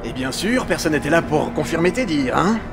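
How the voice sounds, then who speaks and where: Man playing cold accusing crewmate, gravelly fry, higher male pitch, sarcastic, scornful, animated film dub. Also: brisk, music in the background. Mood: suspicious. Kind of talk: dramatic French dub of animated short film.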